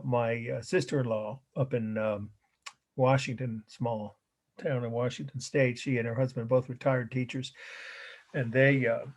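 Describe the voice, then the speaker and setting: A middle-aged man lecturing a college art history class, slightly hoarse, rambling with fillers and self-corrections. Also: mid-range voice.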